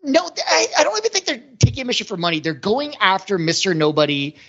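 A man's voice: high-pitched, very animated, fast, fairly bright tone, husky. Male podcaster, loose and meandering conversational delivery, working out thoughts aloud, somewhat dull audio. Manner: rambling, thinking aloud. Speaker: podcast host. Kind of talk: casual movie discussion.

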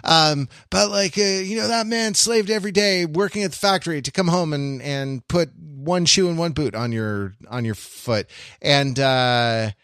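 A man's voice: a little high, measured pace, a bit bright, no echo, studio quality. A man co-hosting a discussion podcast, thinking aloud analytically and often repeating and rephrasing as he searches for words. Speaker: podcast host. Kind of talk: analytical discussion of classic television.

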